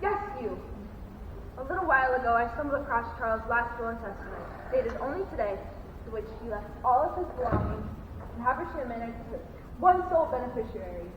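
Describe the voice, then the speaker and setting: A woman's voice: on the high side, lively intonation, brisk, natural voice. A young woman playing a brusque, accusing police inspector in an amateur stage murder-mystery farce, with a declamatory, interrogating manner. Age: teens or young adult. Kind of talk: amateur stage comedy acting. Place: high school theatre rehearsal. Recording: echoey hall from far off, noisy, muffled.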